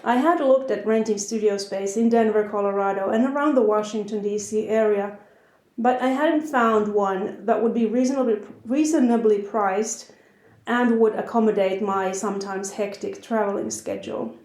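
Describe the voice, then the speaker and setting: A woman's voice: mid-range voice, moderate pace, darkish tone. Finnish-accented woman in a roomy space. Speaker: podcast host. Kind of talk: podcast intro and interview. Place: her house. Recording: roomy echo.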